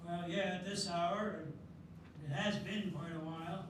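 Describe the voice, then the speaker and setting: Older man doing a bickering old porch-sitter; high voice, unhurried, recorded far off in an echoey noisy hall. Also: fairly even intonation, lightly acted. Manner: slow, plainspoken, bickering. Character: elderly man rocking on porch, stubborn, opinionated. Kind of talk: amateur readers theatre. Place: senior center hall.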